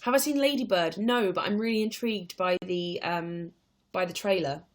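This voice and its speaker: A woman's voice: fairly low pitch, moderate pace. Chatty young woman reading out viewer questions. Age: 20s. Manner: chatty and rambling, reads viewer questions aloud. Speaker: livestream host answering viewer questions. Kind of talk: casual live chat.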